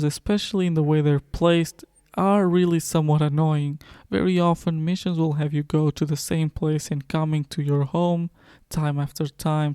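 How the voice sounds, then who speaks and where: Guy doing a game review voiceover, kinda soft mellow tone, matter of fact, really dry close mic. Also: on the high side, clear, resonant tone, no echo. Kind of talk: scripted review narration.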